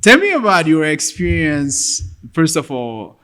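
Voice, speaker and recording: A man hosting a podcast interview, asking questions in a measured way with frequent fillers and short affirmations. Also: on the high side, wildly swooping pitch, a bit bright. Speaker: podcast host.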